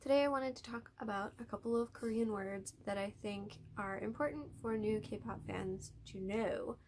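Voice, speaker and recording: Young woman, YouTuber, chatty, lots of "like"s, gravelly fry in the voice. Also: medium pitch, moderate pace, darkish tone, a little hiss or hum. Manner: chatty, hedging with many "like"s and restarts. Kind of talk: casual explainer vlog.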